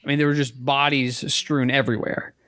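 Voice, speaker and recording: A man co-hosting a podcast, chatting casually about video games in a halting way, often repeating and rephrasing. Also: medium pitch, level tone, bright, forceful tone, dry recording. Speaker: podcast co-host.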